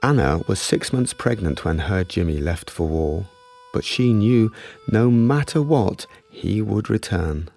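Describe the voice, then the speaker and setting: A man's voice: on the low side, lively intonation, measured pace, vocal fry, hardly any pauses, a little mellow, faint music behind, exaggerated voice. A man narrating a radio drama as a grave, tender storyteller of a wartime tale.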